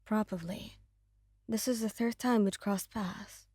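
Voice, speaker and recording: A woman's voice: medium pitch, steady tone, measured pace, mellow, breathy edge, dry recording, studio quality. A woman voicing a dutiful mafia second in command in an audio roleplay, reporting seriously to the boss.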